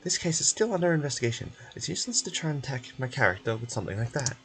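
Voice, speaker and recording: A man's voice: mid-range voice, sing-song, rapid-fire, faint music behind, natural voice. A man voicing a pedantic, indignant contestant who is obsessed with rules in a video game. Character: huffy, argumentative rule-obsessed prison game contestant.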